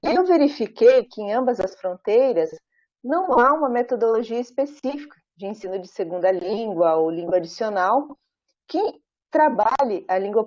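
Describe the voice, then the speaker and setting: A Brazilian woman professor giving an academic talk over a video call, speaking in a measured, thoughtful way with frequent pauses and tag questions. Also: on the high side, a little mellow. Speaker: professor presenting her research. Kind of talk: academic talk at an online book launch. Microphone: video call, camera off. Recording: muffled video call audio.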